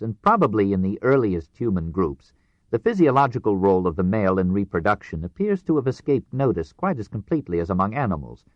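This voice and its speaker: A man narrating a nonfiction audiobook in a measured, formal way with precise phrasing. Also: sits low, no echo, muffled recording.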